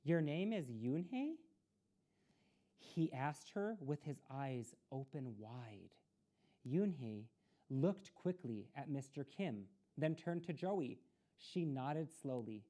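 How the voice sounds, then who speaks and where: A woman reads a children's picture book aloud in an expressive, deliberate way. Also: very deep voice. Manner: expressive, deliberate delivery with asides to listeners. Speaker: storytime reader.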